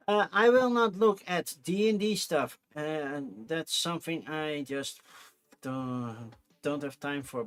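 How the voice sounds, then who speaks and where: A man's voice: medium pitch, fairly bright tone. A man with a Dutch accent, a native Dutch speaker, hosting his channel in casual solo chat, rambling and often correcting himself. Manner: rambling, chatty, self-correcting with frequent fillers. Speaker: channel host.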